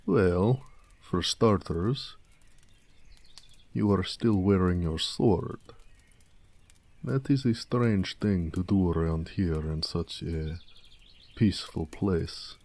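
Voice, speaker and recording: A man playing a proud, gentle orc blacksmith in an intimate, affectionate audio roleplay, speaking tenderly and modestly. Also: slow pace, long pauses, no room sound, heavily acted. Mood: fond, curious. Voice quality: deep, mellow.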